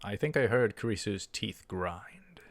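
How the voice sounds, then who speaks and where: A man's voice: lowish voice, a little slow. A man reading a visual novel aloud and adding his own casual commentary.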